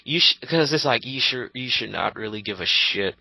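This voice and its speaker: Sharp-voiced man in blunt casual commentary. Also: medium pitch, expressive, moderate pace, creaky, low-quality recording. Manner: argumentative, blunt, foul-mouthed. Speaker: co-host commenting on online arguments.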